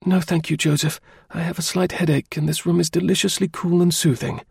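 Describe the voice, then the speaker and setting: A man voicing a devoted, compliant young gentlewoman in a storybook, polite and hesitant. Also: a little high, flat delivery, brisk, gravelly, husky, no room sound, studio quality, voice pushed up, heavily acted. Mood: polite, demure.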